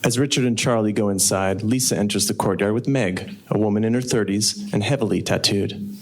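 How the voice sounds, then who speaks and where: A man's voice: lowish voice, fairly quick. A man narrating a live radio play, reading scripted stage directions. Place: on stage in a live theatre performance. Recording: noisy live stage ambience.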